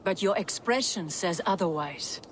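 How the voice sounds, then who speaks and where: A woman's voice: lowish voice, normal speed, a little hiss or hum, close to an everyday voice. A woman playing a proud, defiant Norse queen in a dramatic video game, firm and haughty. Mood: concerned.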